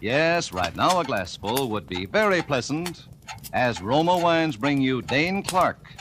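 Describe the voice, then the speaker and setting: A man announcing a 1940s radio thriller and its sponsor in a smooth, persuasive, emphatic style. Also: medium pitch, sing-song, measured pace, background noise. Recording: old radio broadcast with music.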